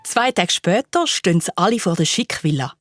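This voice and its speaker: A woman narrator telling a children's story in a playful, colloquial, expressive way. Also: fairly low pitch, normal speed, over music.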